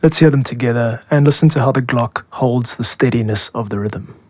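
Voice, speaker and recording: A man with a South African accent hosting a podcast, explaining in a measured, scripted style. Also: mid-range voice, loud music behind the voice. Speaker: podcast host, music composer and producer.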